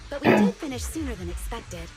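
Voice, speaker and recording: A woman's voice: on the high side, lively intonation, a little fast, over music, natural voice. A woman voicing a composed, dutiful young female squad leader in a video game, speaking in a polite, businesslike way. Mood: calm, polite.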